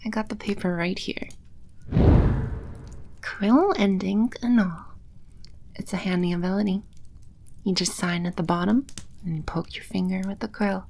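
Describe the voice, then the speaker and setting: A woman playing a playful, teasing demon empress in an ASMR audio roleplay, speaking with unhurried, coy confidence. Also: medium pitch, fairly even intonation, vocal fry, a little mellow, a little hiss or hum. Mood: businesslike, teasing. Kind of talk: ASMR audio roleplay, spoken close to the listener.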